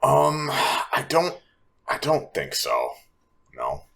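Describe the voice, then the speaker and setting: A man's voice: mid-range voice, huge pitch swings, drawn-out words, creaky, fairly bright tone. A man playing a tabletop roleplaying game, narrating his character's actions in the third person.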